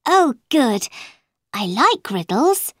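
A woman's voice: sits high, big pitch swings, takes it slowly, dry recording, clean studio sound, voice pushed up, full character voice. A woman voicing a polite but outspoken little girl in a children's storybook, questioning and indignant. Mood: curious.

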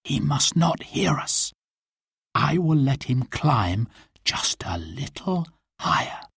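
A man's voice: mid-range voice, sing-song, a little slow, dry recording, clean studio sound. A man voicing a brave, earnest young outlaw hero in a storybook adventure. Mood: urgent whisper.

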